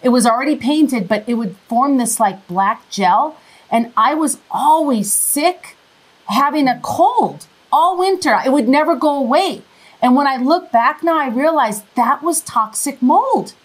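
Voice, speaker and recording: A woman with a Minnesota accent hosts a relaxed conversation. Her voice sits slightly high, and she asks questions with friendly curiosity. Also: unhurried, low-quality recording. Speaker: host. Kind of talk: casual interview chat.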